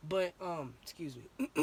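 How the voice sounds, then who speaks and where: Hoarse young woman rambling candidly. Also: on the low side, big pitch swings, slow pace, warm tone. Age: young adult. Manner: candid and rambling, with many ums and restarts. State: sore throat, slightly hoarse. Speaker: vlogger. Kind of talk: casual opinion vlog.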